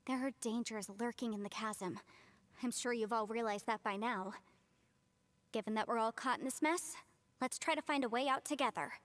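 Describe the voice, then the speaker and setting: A woman playing a polite, composed young scholar in an anime game, speaking in a measured, formal, earnest way. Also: on the high side, lightly acted. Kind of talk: anime video game voice acting.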